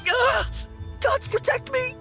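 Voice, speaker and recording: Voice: extremely high pitch, fairly even intonation, takes it slowly, creaky, heavily acted. An actor voicing a timid, jumpy young male apprentice undertaker in a video game, stammering and pleading fearfully. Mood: terrified. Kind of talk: animated video game voice acting. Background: game music.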